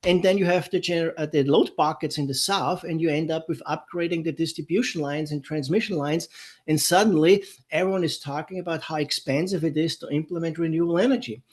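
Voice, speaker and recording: Man, German accent, fairly high voice, casual lecture, lots of fillers and restarts. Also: fairly quick. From Europe. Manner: conversational, many fillers and restarts. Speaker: webinar presenter. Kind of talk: unscripted technical lecture. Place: San Diego, California.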